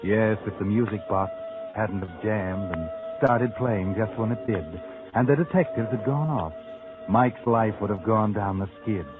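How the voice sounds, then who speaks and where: Actor as knowing, low-voiced radio suspense narrator. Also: male voice, measured pace, close to an everyday voice. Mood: knowing, confiding. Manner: dramatic, confiding, teasing. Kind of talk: 1940s radio acting. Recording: muffled vintage radio recording over loud music.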